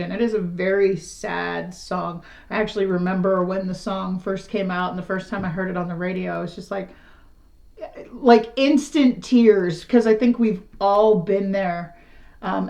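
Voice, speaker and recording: A middle-aged woman with a raspy, slightly hoarse voice gives a casual tarot reading to the camera. She talks in a frank, rambling, conversational way. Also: medium pitch, steady tone, normal speed, a little mellow. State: slightly hoarse after illness. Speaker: tarot reader.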